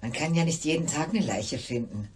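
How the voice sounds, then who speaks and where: A woman's voice: low-pitched, lively intonation, fairly quick, a little mellow, husky, heavily acted. An actress playing a gossipy, flippant cleaning lady in a radio crime play, chatty and cheeky.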